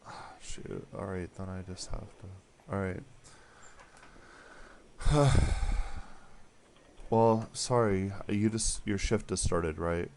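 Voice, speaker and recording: Young male prank caller, deadpan and hesitant, slight hiss behind him. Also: low-pitched, slow, pauses often. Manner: hesitant, rambling, awkward with many fillers. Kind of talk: deadpan prank phone call.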